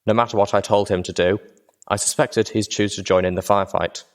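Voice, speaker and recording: A man's voice: on the low side, steady tone, fairly quick. A man reading first-person dramatic fiction as an audiobook narrator.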